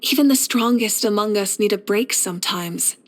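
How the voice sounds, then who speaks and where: A woman plays a steadfast warrior leader of a fantasy game nation. Her delivery is measured and composed, with a mid-range pitch. She sounds assured and reflective, caring for those she leads. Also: natural voice. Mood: solemn, caring. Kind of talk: scripted video game character voice lines.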